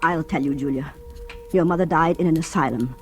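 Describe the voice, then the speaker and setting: A woman's voice: deep voice, fairly quick, lightly acted. A woman acting a motherly, fussing guardian who is tense beneath her sweetness, in a 1940s radio drama. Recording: vintage 1940s radio recording, faint music.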